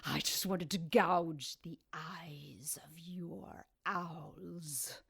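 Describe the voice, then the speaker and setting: An ancient, vengeful temptress. She draws her words out very slowly in a sultry, gloating manner, often slipping into breathy whispers. The style is supernatural radio drama. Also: low-pitched, fairly even intonation, heavily acted. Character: vengeful, seductive ancient woman, former monster, gloating over rival. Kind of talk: audio drama acting.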